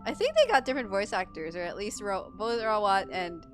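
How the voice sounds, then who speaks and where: A woman's voice: medium pitch, huge pitch swings, fairly quick. A woman from the Philippines giving casual reactions as she streams a game playthrough. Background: game music.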